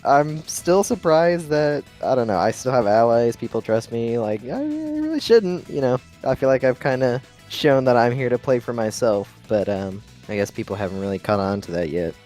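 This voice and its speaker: A man's voice: mid-range voice, animated, normal speed, hardly any pauses, darkish tone, soft background music. A twenty-three-year-old man, a lab technician, talking casually and hesitantly about his game.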